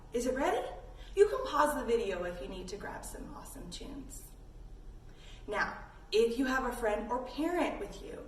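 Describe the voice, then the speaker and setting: A high-pitched woman teaches young children through playful movement games, encouraging them as she goes. She is recorded at a distance in a roomy space. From Canada. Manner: encouraging, playful, with sound effects. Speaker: children's yoga instructor and host. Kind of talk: instructional play-along for young children. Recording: roomy, distant mic with faint noise.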